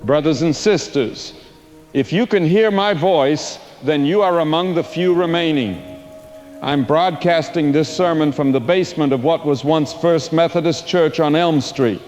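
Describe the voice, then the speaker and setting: An actor playing a solemn, portentous preacher who has survived a plague, delivering a dramatic radio sermon in an audio drama. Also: male voice, on the high side, measured pace, darkish tone, lightly acted. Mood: solemn, foreboding. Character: apocalyptic preacher, a grave male survivor of a plague. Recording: long cavernous reverb with music underneath.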